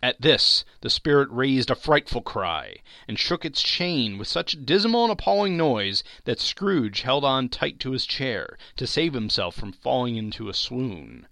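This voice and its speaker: Theatrical male narrator with animated delivery. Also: medium pitch, measured pace, gravelly, hardly any pauses, no echo. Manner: theatrical, expressive. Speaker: podcast narrator. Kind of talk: dramatic audiobook-style narration of a classic tale.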